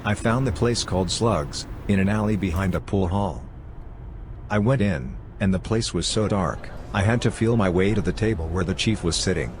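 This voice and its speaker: A man's voice: low-pitched, moderate pace, a little hiss or hum, lightly acted. A man narrating a dramatized detective story in first person as a writer who documents a chief's cases, in a dry, wry manner.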